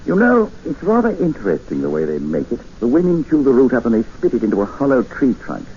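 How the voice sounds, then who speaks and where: An actor playing a calm, matter-of-fact doctor with a British accent in a 1950s radio drama. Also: male voice, medium pitch, fast talker. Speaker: doctor on an expedition. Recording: muffled 1950s radio broadcast with hiss.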